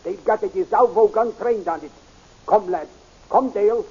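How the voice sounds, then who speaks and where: An actor playing a grave older scientist in an old radio serial, husky and formal. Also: male voice, high voice, a little mellow. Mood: urgent. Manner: formal, deliberate, urgent when ordering. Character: grave older male scientist, hero's loyal companion. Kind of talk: 1930s radio serial acting. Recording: muffled old radio recording.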